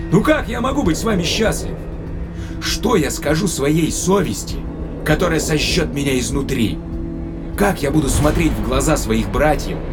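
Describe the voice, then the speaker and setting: A man's voice: medium pitch, voice pushed up, lightly acted. A man playing a proud, defiant space traveller in an audio drama, impassioned and declamatory.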